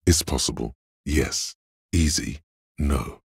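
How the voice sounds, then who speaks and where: Very deep, gravelly voice of cold logic. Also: male voice, dry recording, clean studio sound, heavily acted. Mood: measured, analytical. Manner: measured, deliberate, analytical. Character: cold inner voice of logic in a detective's mind. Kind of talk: video game narration.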